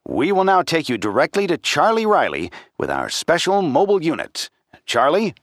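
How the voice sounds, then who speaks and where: A man's voice: a little high, wildly swooping pitch, normal speed, no echo, clean studio sound. An actor playing a grandiose, formal male radio news anchor in a 1920s broadcast style. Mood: grave, showy. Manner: formal, showy announcer delivery. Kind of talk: old-time radio announcing, acted.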